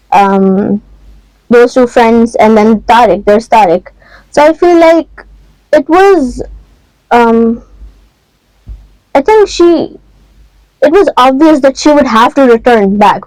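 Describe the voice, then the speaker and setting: Woman guest, clear dry voice, hesitant and reflective. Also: a little high, darkish tone, no room sound, poor audio. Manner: thoughtful, hesitant with many ums. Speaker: podcast guest. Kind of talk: casual book discussion.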